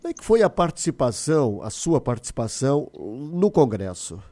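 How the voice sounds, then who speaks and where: A man's voice: mid-range voice, big pitch swings, moderate pace, a crowd in the background. A man hosting a live news interview on the radio.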